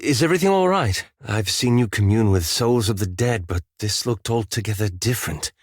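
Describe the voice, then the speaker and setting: A man's voice: lowish voice, animated, normal speed, no room sound, studio quality. An actor playing a courteous, wry and anxious scholarly male elf companion in a fantasy video game, with formal, precise enunciation. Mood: concerned.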